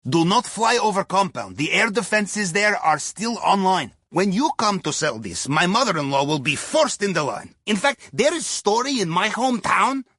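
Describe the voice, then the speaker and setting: A wry, jovial Russian submarine captain in a video game. His voice is fairly high for a man and husky, with a lively melody. He briefs his crew in clipped broken English with dry humour and a Russian accent. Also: moderate pace, hardly any pauses, no room sound, heavily acted. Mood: wry, calm, then brisk, amused. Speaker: mission guide voice in a video game. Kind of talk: scripted video game radio dialogue.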